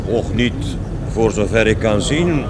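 A man's voice: animated, unhurried. A man playing a disgruntled, self-deprecating working-class character who rambles wryly about his grievances in a radio play. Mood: grumbling. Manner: wry, hesitant, rambling. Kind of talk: radio play acting, conversational. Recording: noisy radio play with vehicle rumble.

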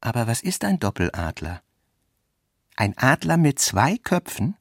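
A man narrating literary prose and its dialogue in a measured, articulate way. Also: mid-range voice, very animated, no echo, clean studio sound.

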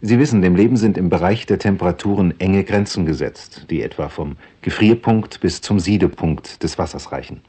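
A man with a deep, somewhat rough voice reads a scripted radio introduction. His delivery is measured and explanatory, in the manner of a lecture. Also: mellow. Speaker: introductory speaker of a radio play.

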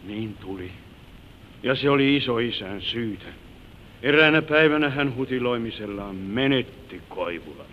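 Actor as gentle old grandfather, tinny radio recording. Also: medium pitch, lively intonation, a little hiss or hum, lightly acted. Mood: wistful, sad. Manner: tender, wistful, moralizing, slightly solemn. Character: gentle old grandfather telling his life story to granddaughter. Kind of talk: old-time radio drama acting.

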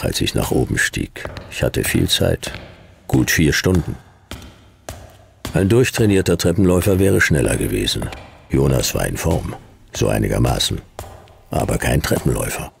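An actor playing a hard-boiled, weary detective in a German radio play, speaking in terse sentences with dry, laconic wit. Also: male voice, extremely low pitch, vocal fry, a little hiss or hum, heavily acted. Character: hard-boiled, weary male detective narrating his own case. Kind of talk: German radio play acting, noir first-person narration.

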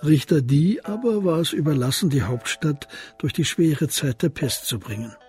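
A man's voice: fairly high pitch, animated, normal speed, darkish tone, faint music behind. A man narrating a scripted crime story for a radio drama.

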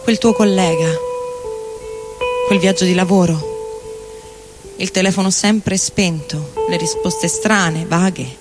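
Male actor as tormented lover, high-pitched, dramatic, literary monologue, music underneath. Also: takes it slowly, long pauses. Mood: bitter, anguished. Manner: anguished, dramatic, literary delivery. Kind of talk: theatrical literary monologue reading over music. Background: electric guitar and loops.

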